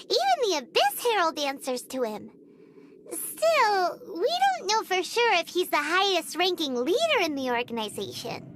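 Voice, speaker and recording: A woman's voice: extremely high pitch, big pitch swings, normal speed, full character voice. A woman voicing a tiny, curious and talkative fairy companion in a game, who speaks of herself in the third person. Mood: puzzled. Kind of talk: game cutscene voice acting. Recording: loud background noise.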